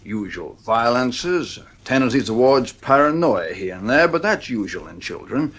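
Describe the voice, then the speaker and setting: A man playing a frank, avuncular psychiatrist in an audio drama, dry, measured and gravely concerned. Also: medium pitch, expressive, fairly bright tone. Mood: measured, professional.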